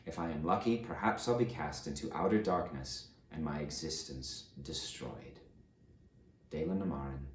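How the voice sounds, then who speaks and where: A man's voice: low-pitched, echoey room, lightly acted. A man playing an aged fallen tyrant, remorseful and grandiose, reading his confession in a solemn, dramatic audiobook style. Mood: resigned grief. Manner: solemn, dramatic, deliberate storytelling delivery.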